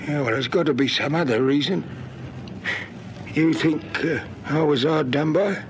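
An actor playing an elderly, poor, humble man in a radio drama, rambling and halting in rustic ungrammatical speech. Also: on the high side, lightly acted. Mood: puzzled, touched. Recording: noisy old radio drama recording.

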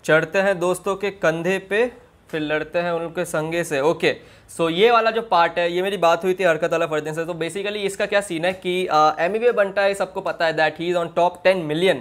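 High-voiced young man reacting casually. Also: fairly even intonation, moderate pace, a bit bright. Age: 20s. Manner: slangy Hindi mixed with English phrases. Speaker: music reaction video host. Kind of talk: casual reaction commentary with explanations. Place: small indoor room.

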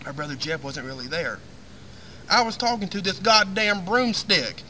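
A man's voice: high voice, pushed and bright, exaggerated voice. A man playing a crude, drunken redneck storyteller with a brash, profane, drawling Southern US accent in a comic monologue. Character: crude, drunken redneck man spinning rambling foul-mouthed tales. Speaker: storytelling host character. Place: outdoors on a wooden deck in woods. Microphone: camera a few meters away. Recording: distant camera mic with faint outdoor noise.

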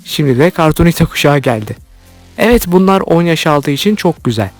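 A man's voice: a little high, animated, brisk, no room sound. A man hosting an online video, delivering scripted commentary as narration. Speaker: YouTube host commenting on cartoons. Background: background music.

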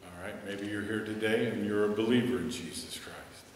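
A man's voice: lowish voice, fairly even intonation, normal speed, a little hiss or hum. Middle-aged American man, pastor, emphatic sermon at a pulpit, heard from a distance with long reverb. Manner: earnest, emphatic preaching, softening to a hushed prayer. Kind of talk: sermon and altar call. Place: church sanctuary, standing at a pulpit. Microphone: headset mic. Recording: echoey church hall, from a distance.

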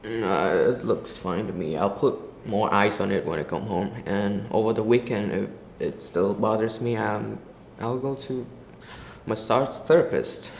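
A man's voice: lowish voice, unhurried, vocal fry, a little mellow. A young man playing a reluctant injured worker in an amateur scripted drama. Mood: subdued. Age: teenager or young adult. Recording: roomy echo, faint background noise.

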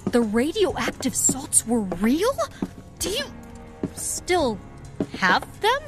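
Plucky female vigilante for audio drama: higher voice, unhurried, concerned, dryly witty. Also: huge pitch swings, music in the background, exaggerated voice. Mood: wry, curious. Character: plucky masked woman vigilante, practical and caring.

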